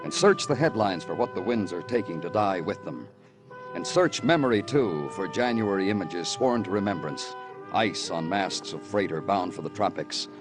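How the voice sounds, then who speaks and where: An actor playing a world-weary police detective in old radio noir, speaking in brooding, poetic, clipped phrases. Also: male voice, sits low, animated, normal speed, over music. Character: world-weary male police detective narrating his own case. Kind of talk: 1950s radio noir narration.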